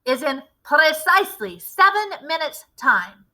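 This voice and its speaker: A woman voicing an imperious, gloating Grand High Witch with a theatrical, deliberate, commanding delivery in a storybook reading. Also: sing-song, bright, forceful tone, exaggerated voice.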